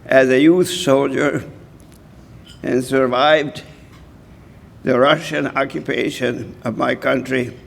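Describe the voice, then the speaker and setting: Slow, gravelly man over ninety. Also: medium pitch. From Hungary. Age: 90s. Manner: slow, halting, frequent throat clearing. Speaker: award recipient. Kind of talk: acceptance speech. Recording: reverberant hall.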